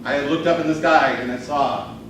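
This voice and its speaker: A man giving a theatrical storytelling speech as a contestant, with a dramatic, deliberate delivery full of pauses. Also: fairly high pitch, fairly even intonation. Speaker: speech contestant telling a tall tale. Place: roomy indoor speech contest venue. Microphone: distant camera microphone. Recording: distant, echoey, noisy live room recording. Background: noisy room ambience, audience laughter.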